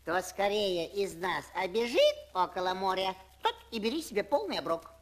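A man voicing a small young imp on a children's story record, with quick, sly patter. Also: high-pitched, sing-song, voice pushed down.

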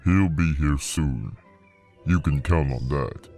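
A man voicing a dignified, steady copper dragon in a fantasy audio drama, formal and gravelly. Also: slow pace, soft background music, heavily acted. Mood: formal assurance. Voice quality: deep, gravelly.

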